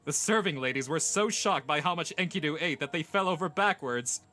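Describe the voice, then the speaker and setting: A man's voice: a little high, lively intonation, a little fast, pushed and bright, soft background music. A man playing a proud, imperious young king in a comic dub, with a pompous, lofty manner. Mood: amused storytelling. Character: proud, boastful young king of ancient times, imperious but amused. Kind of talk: scripted comic dub acting.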